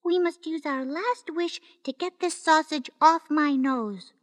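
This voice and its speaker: An upset woodcutter complains in an exasperated, high voice, as a woman reading a children's story puts him on. He speaks slowly. The reading rings with a long reverberant tail. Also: clean studio sound. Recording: reverberant room.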